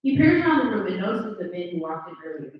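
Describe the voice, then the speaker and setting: A woman's voice: mid-range voice, sing-song, fast. Echoey woman reading stage directions. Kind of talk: scripted narration at a live table read. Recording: very echoey room, distant dull mic.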